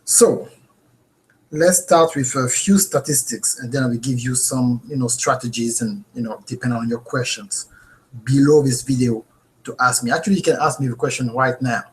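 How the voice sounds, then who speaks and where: A man with a French accent leads a live online class from his home office, and his voice comes through a webcam mic. He is earnest and animated and keeps checking in with the viewers. His pitch sits in a middle range for a man. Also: normal speed, rough recording. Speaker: host. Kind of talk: live webinar teaching.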